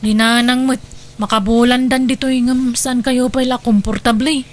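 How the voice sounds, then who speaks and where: A woman plays a grown daughter in an audio drama, high in pitch and firm in delivery. She has an Ilocano accent and sounds devoted, defiant and insistent. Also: fairly even intonation, moderate pace, natural voice.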